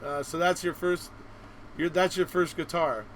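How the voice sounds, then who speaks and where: A man's voice: a little high, fairly even intonation, moderate pace, fairly bright tone, slight background noise. A man hosting a casual live interview, reacting with folksy exclamations and reading out questions. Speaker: host.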